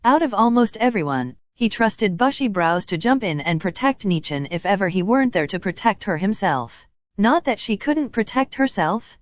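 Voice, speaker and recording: A woman's voice: medium pitch, no room sound. A woman narrating a written story aloud, reading both description and dialogue.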